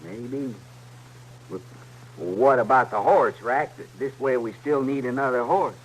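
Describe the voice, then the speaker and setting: An actor playing a slow-witted, childishly stubborn outlaw sidekick in an old-time radio Western, speaking simply and insistently with a rural American West accent. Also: male voice, medium pitch, slightly rough, low-quality recording. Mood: doubtful. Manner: simple, plaintive, insistent folksy speech. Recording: old radio recording with faint hum and noise.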